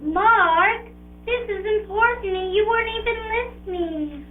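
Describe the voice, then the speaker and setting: High-pitched eager youngster, played by a woman, tinny film. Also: unhurried. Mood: insistent. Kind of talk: educational film dialogue. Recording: tinny 1980s 16mm film soundtrack, music.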